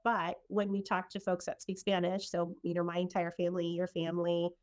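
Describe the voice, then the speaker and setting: A middle-aged woman from El Salvador speaks in an earnest, reflective way, sharing her own story in a conversation. Her voice sits a little low for a woman. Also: completely flat delivery, fairly quick. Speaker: guest in a conversation.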